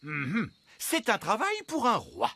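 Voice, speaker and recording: Pompous, animated cartoon king. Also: high-pitched, slow, slightly rough, exaggerated voice. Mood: composed. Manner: pompous, exclaiming. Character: fussy fairy king and father, middle-aged, easily scandalised. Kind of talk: animated children's cartoon dubbing. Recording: music behind the voice.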